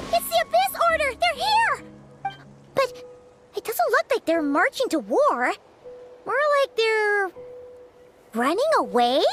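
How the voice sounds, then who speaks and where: A tiny fairy sidekick from a video game, with a very high, childlike voice that swings up and down. She is perky, excitable and protective, and music plays clearly behind her. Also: takes it slowly, long pauses, heavily acted. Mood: alarmed, excited. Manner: refers to self in third person, exclamatory. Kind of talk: animated video game voice acting.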